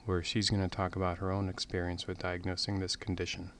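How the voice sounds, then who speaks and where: A man narrating a medical case lecture as presenter, in measured, formal explanatory phrasing. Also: low-pitched, completely flat delivery, heavy background noise.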